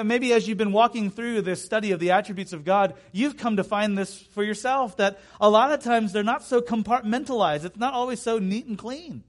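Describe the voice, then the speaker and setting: Male preacher, thirties. High-pitched voice, emphatic sermon delivery with repeated phrases and chatty asides. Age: 30s.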